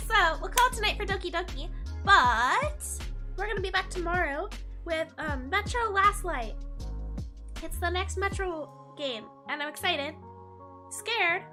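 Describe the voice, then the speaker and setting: Giggly high-voiced young woman streamer. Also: bright, forceful tone. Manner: playful, giggly, teasing chat. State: hoarse. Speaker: VTuber streamer. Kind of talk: casual game stream chat, reading chat.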